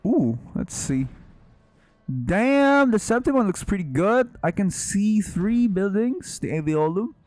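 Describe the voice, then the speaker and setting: A man doing casual live gameplay commentary, exclamatory and quick to swear. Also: high voice, very animated, a little mellow, faint music behind. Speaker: game streamer.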